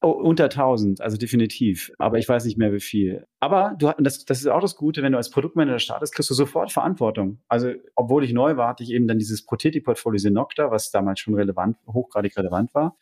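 A man's voice: mid-range voice, quick pace, few pauses, a little mellow, breathy edge. A man talking enthusiastically and conversationally about his own career as an interview guest. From Germany. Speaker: podcast interview guest, entrepreneur.

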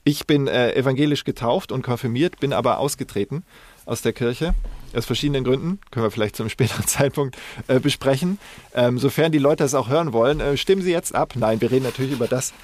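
A man's voice: medium pitch, fast. A man explains things in casual podcast conversation as a co-host, often correcting himself as he goes. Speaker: podcast co-host and professional news presenter.

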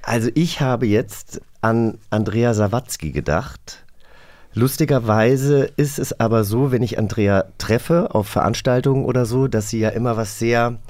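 Casual male host, medium pitch. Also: a little slow, darkish tone. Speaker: magazine host. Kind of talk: casual interview chat.

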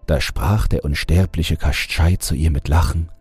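A man narrating a bedtime fairy tale as an audiobook reader, in a husky, breathy low voice with measured, gentle storytelling. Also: soft background music.

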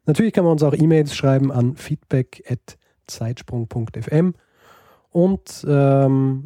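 Male podcast co-host, very dark mellow voice, hesitant and filler-heavy, dry close mic. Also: medium pitch, no echo. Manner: hesitant, many fillers and restarts. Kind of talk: casual podcast chat.

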